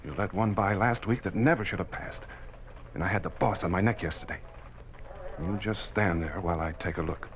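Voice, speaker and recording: A man's voice: deep voice, fairly quick, lightly acted. An actor playing an irritable, pompous office supervisor in an old-time radio drama, scolding as he talks. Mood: bossy, irritated. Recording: noisy old radio recording.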